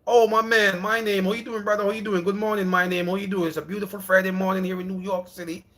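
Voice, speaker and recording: A man hosts a casual livestream from home. His voice sits fairly high for a man. He rambles and repeats himself in a loose, friendly way, calling viewers brother and bro. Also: flat delivery, quick pace, fairly bright tone. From Jamaica. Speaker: livestream host.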